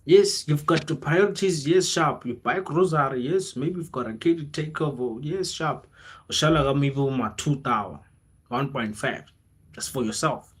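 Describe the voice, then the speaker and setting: A man's voice: on the high side, normal speed, slightly rough. A young South African man talking informally on a livestream, using plenty of slang, repeating phrases for emphasis and slipping into local languages. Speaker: livestreamer, content creator. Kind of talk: casual livestream chat, motivational rambling.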